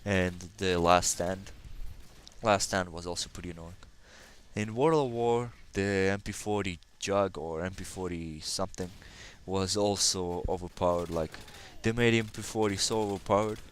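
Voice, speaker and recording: Guy from kazakhstan, russian is his first language, slight accent, low voice, rambly game commentary. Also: normal speed, a little hiss or hum. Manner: rambling and hesitant, many fillers. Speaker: gaming channel host. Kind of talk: casual gameplay commentary.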